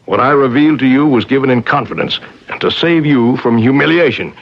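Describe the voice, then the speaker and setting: An actor playing a scheming older professor uncle in an old-time radio drama, smooth, theatrical and persuasive. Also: male voice, medium pitch, fairly quick, lightly acted. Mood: coolly insistent. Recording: dull old radio broadcast recording.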